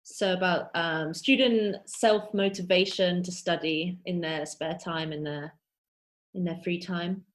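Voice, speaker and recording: A woman's voice: fairly low pitch, steady tone, measured pace. Woman moderating an online Q&A. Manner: friendly, loosely paced with fillers. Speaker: webinar moderator.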